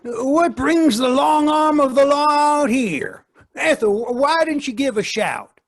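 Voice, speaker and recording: An actor playing an excitable, teasing farm husband with a temper and a husky voice in old-time radio drama. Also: male voice, very high voice, unhurried, heavily acted.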